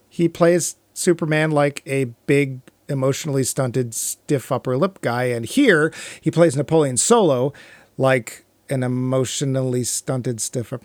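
A man's voice: fairly high pitch, dry recording. A middle-aged man chatting casually about film and TV as a co-host, with a wry, joking manner. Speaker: podcast co-host.

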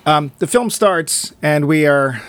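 A man's voice: fairly high pitch, animated, slow pace, a bit bright, clear, resonant tone, no room sound. A man co-hosting a casual film review chat, joking and sarcastic as he talks. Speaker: film podcast co-host.

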